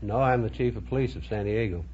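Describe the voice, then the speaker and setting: Low-voiced, plain-spoken American man. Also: fast talker. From Minnesota, United States. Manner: plain, matter-of-fact, laconic. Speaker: quiz contestant, police chief. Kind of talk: unrehearsed interview answers. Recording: muffled old radio recording, faint noise.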